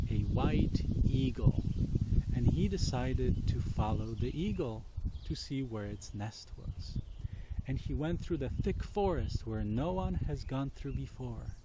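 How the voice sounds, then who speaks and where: Slow-paced man telling children's stories. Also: medium pitch, background noise. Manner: deliberate, explains and puts questions to listeners. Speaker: children's storyteller. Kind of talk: storytelling for children.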